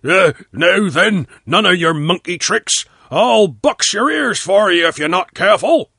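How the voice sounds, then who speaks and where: A man voicing a gruff, threatening miller in a storybook, with a husky voice and forceful delivery. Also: high-pitched, lively intonation, measured pace, no room sound, studio quality, pitched above the performer's own voice, heavily acted.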